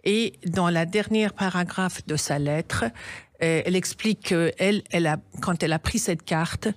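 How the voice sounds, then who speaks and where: A middle-aged woman from Iran, a charity founder telling her story in a radio interview in earnest, halting phrases with restarts. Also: fairly low pitch, no room sound.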